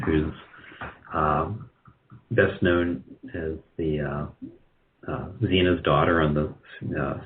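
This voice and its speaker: Deep-voiced, halting man on lo-fi audio. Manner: hesitant, halting, with many filled pauses. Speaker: radio drama producer, interview guest. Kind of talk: casual interview talk. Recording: muffled, lo-fi call audio.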